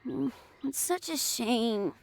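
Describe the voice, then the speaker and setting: A woman voicing a sweet, excitable little boy in an anime fantasy game, eager and sincere. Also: on the high side, slow, vocal fry, heavily acted. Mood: grateful, excited.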